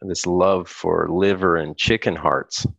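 Low gravelly American man, rambling. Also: fairly even intonation, slow, fairly bright tone, dry recording. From United States. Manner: rambling, laughs often, many restarts. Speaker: guest musician. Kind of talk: casual interview chat.

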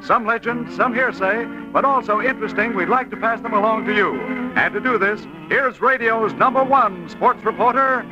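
A man's voice: high-pitched, normal speed, muffled recording, over music. A man announcing a 1940s radio show in a formal, declamatory style. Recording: band-limited old radio broadcast.

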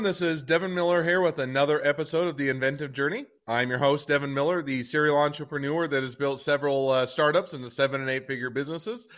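A man's voice: mid-range voice, fairly bright tone. A man hosting a podcast interview, introducing his guest and asking loose, self-correcting questions. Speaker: podcast host.

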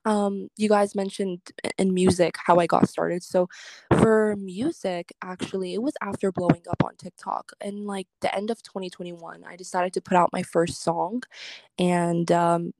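A chatty woman answering interview questions about her music, loose and rambling, full of fillers and restarts. Her voice sits at an ordinary pitch. The recording is dry, with no room sound. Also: level tone, moderate pace, a little mellow, dry recording, poor audio. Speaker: guest singer and social media creator.

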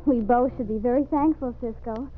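Woman acting sweet young townswoman, fairly high voice. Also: moderate pace, soft background music, lightly acted. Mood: grateful. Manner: polite, earnest. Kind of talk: old-time radio western acting. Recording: noisy, tinny old radio recording.

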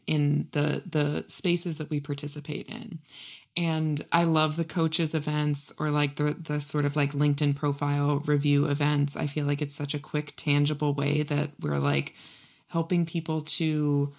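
A woman's voice: sits low, creaky. A woman hosting a casual interview, easygoing and chatty, often saying "like" and "right?". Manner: relaxed, frequent "like" and "right?". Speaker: interview host.

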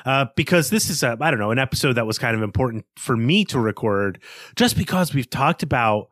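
A man co-hosting a literary podcast, musing about the book and trailing off into quiet asides. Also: medium pitch, lively intonation, brisk, dry recording, clean studio sound. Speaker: podcast co-host. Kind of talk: casual book discussion.

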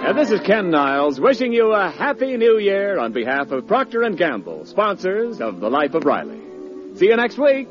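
A man's voice: sits high, very animated, normal speed, over music. A man announcing on 1940s radio, with polished, emphatic sales delivery. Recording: muffled old radio broadcast.

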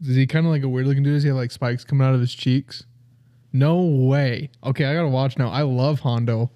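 A man with a mellow, warm-toned voice chatting on a podcast. He talks in a relaxed, easygoing way, telling little stories. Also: medium pitch, a little fast. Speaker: podcast co-host. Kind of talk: casual chat.

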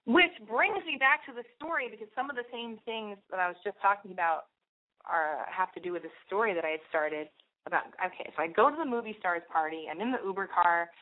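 A woman hosting a podcast, chatting with a caller and then telling a story in a talkative way, with lots of restarts and "like". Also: mid-range voice. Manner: talkative, quick restarts, frequent "like". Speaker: podcast host. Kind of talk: casual call-in chat and storytelling. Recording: phone-like narrow band with faint hiss.

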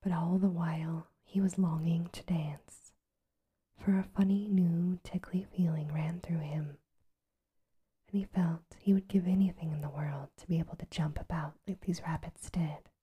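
A woman reading a bedtime story aloud in a soft, even ASMR whisper. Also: fairly low pitch, a little slow, slightly rough, dull audio.

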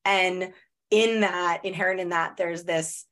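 A woman in her thirties talks through a casual podcast chat in a slightly low voice. She leans on a lot of "like" fillers and comes out in quick bursts. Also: steady tone, a bit bright. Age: 30s. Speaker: podcast host.

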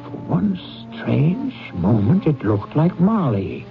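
An actor playing a bitter, miserly old businessman in a radio drama, gravelly, curt and contemptuous. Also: fairly high pitch, wildly swooping pitch, slow pace, music in the background, exaggerated voice. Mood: brooding narration. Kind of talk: 1970s radio drama acting. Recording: dull lo-fi 1970s radio recording.

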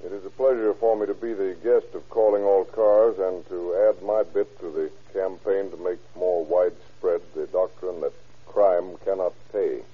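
A man gives a scripted radio address with stiff, earnest formality. His voice is fairly low and rough, heard on a thin, phone-like vintage recording. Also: fairly even intonation, normal speed. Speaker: sheriff, guest speaker.

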